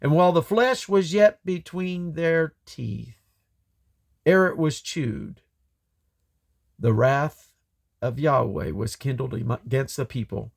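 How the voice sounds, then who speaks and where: A man reading scripture aloud in a solemn, deliberate way, breaking the text into measured phrases. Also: medium pitch, lively intonation, creaky. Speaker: Bible reader. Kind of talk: scripture reading, King James English.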